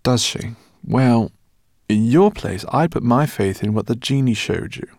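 A man's voice: medium pitch, lively intonation, measured pace, darkish tone, no echo, clean studio sound, pitched above the performer's own voice. A man reading an audiobook, voicing a kindly, flustered older male professor.

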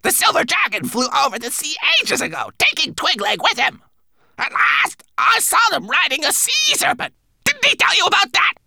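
A man voicing an agitated raven servant, squawking and complaining in a shrill voice. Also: huge pitch swings, moderate pace, gravelly, pushed and bright, no room sound, full character voice. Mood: surprised, complaining. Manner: agitated, repetitive exclamations. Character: frantic male raven servant, squawking and complaining to his master.

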